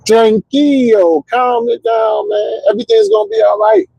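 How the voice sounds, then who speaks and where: A middle-aged man hosting a casual livestream, rambling in an animated, motivational way with lots of repeats and "you know what I'm saying". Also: very high voice, moderate pace, vocal fry, no echo, highs cut off. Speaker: host.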